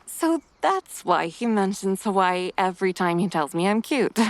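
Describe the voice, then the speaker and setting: A woman voicing a reserved, refined mother who carries quiet guilt, with formal, measured wording in a motion comic. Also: medium pitch, sing-song, natural voice. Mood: gently dry.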